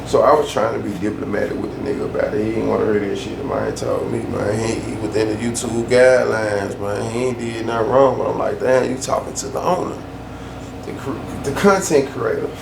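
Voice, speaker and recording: A man's voice: on the low side, fairly quick, gravelly. A man ranting casually in a loose, slangy way, often repeating himself for emphasis. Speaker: YouTube storyteller. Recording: echoey room, faint background hum.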